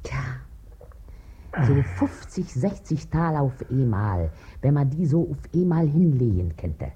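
A woman's voice: very deep voice, big pitch swings, unhurried, warm tone, slightly rough, exaggerated voice. An actress in a 1950s German radio play voicing a crafty, domineering middle-aged washerwoman with a Berlin accent, bossy and wheedling in colloquial speech. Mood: scheming. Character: crafty, domineering working-class washerwoman, wife and mother.

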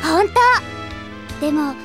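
A woman's voice: high-pitched, slow pace, music in the background. A woman playing a sweet, bubbly teenage idol girl in an anime audio drama. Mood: wistful, tender.

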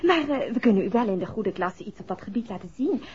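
A woman's voice: mid-range voice, animated, fast, darkish tone, lightly acted. An actress playing a gushing, deferential fashion boutique saleswoman in a 1960s Dutch radio play, polite and effusive. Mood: courteous, persuasive. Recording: dull, faintly noisy vintage radio recording.

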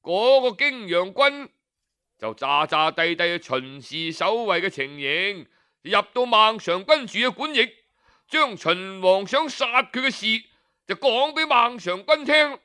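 High-pitched, bright male storyteller, unhurried. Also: clear, resonant tone. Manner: colloquial, expressive, frequent rhetorical questions and exclamations. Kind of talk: traditional oral storytelling, audiobook narration.

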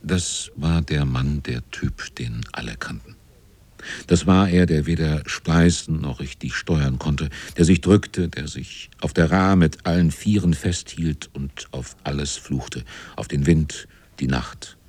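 An actor narrating a radio play as a reflective seaman, in a dark, husky, measured voice. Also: rumbling low, dry recording, full character voice. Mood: reflective, wry. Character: reflective seaman recounting his shipmates' voyage.

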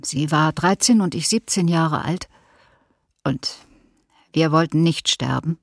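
A woman's voice: deep voice, slow pace, gravelly, no room sound, clean studio sound, heavily acted. An actress playing a female massacre survivor who recalls her youth, giving testimony with grave restraint in a radio documentary. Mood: grave, aching.

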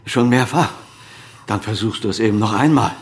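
A man's voice: lowish voice, normal speed, close to an everyday voice. An actor playing a proud, evasive surgeon and father of a grown son, speaking in an authoritative, articulate way in a German radio crime drama. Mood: scornful, tense.

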